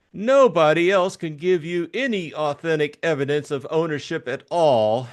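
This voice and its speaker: A man's voice: on the high side, voice pushed up. A man voicing a suave, effete male schemer from a crime novel, with formal, mannered, evasive politeness.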